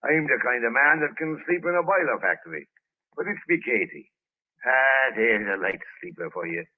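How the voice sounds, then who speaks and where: On a thin, band-limited old radio recording, a man plays a garrulous police sergeant from next door. He is folksy and nosy, swinging widely in pitch as he talks, and stubborn about having his say in classic radio drama fashion. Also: animated, normal speed, phone-quality sound, natural voice. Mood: folksy, confiding. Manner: folksy, garrulous, colloquial phrasing. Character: genial, talkative, nosy Irish-American police sergeant neighbor turned stubborn. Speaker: radio actor. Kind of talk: 1930s radio drama acting.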